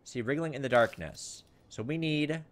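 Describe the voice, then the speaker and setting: A man with a medium-pitched voice hosts a casual gameplay session. He muses aloud, often hesitating as he works things out. Some noise sits faintly behind his voice. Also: normal speed. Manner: thinking aloud, hesitant musing. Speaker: let's play host.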